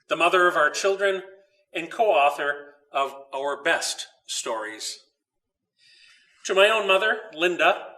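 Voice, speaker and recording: Bright-voiced man reading a storybook, slightly distant. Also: a little high. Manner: measured, deliberate storytelling with pauses. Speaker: author reading own story. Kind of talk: children's storybook read aloud. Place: old dairy barn.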